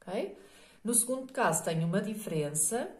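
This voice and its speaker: A middle-aged woman with a European Portuguese accent, hoarse from a cold, walks through a maths lesson step by step. She talks patiently, at a middle pitch, and keeps checking in with the listener. The room around her voice sounds echoey. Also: sing-song, moderate pace, a little mellow. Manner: patient, explanatory, many fillers and checks like "ok?". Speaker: maths teacher.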